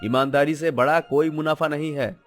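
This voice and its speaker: Man as an earnest, high-voiced honest cartoon shopkeeper. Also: steady tone, soft background music, natural voice. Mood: calm, advising. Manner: measured, earnest, moralizing. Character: honest, principled young male vegetable seller. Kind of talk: Hindi moral-story cartoon acting.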